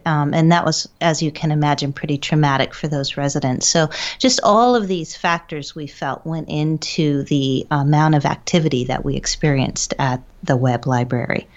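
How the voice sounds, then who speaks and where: A woman's voice: deep voice, darkish tone, dull audio. A woman speaking as an interview guest, recounting experiences in a conversational, explanatory way. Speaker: interview guest, paranormal researcher.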